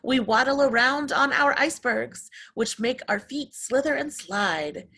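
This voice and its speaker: A woman's voice: sits high, animated, unhurried, gravelly, bright, forceful tone, breathy edge, voice pushed up, heavily acted. A woman voicing playful, clumsy penguins in a bouncy, sing-song way for a children's storybook. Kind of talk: children's picture-book read-aloud.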